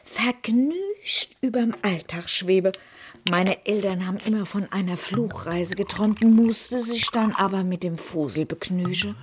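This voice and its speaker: An actress playing a lively older woman, teasing her friend over drinks. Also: medium pitch, unhurried, slight background noise, close to an everyday voice. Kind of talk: radio comedy sketch.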